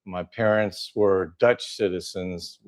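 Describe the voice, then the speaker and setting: A man's voice: low-pitched, steady tone, slow. An older man from Southern California, a guest in a casual interview, talking with many fillers and restarts.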